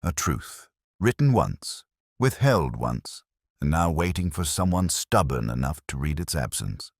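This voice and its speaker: Very deep-voiced man as a brooding detective narrator. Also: sing-song, no room sound, clean studio sound, heavily acted. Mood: pensive, grave. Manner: measured, grave, literary. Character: brooding male police detective recounting a case, solemn, introspective. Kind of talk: scripted first-person mystery narration.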